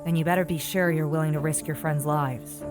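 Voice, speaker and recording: A woman's voice: deep voice, fairly even intonation, fairly quick, close to an everyday voice. A woman playing a shrewd, world-weary woman in an audio drama, frank and cautioning but guardedly kind. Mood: wary concern. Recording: music under the voice.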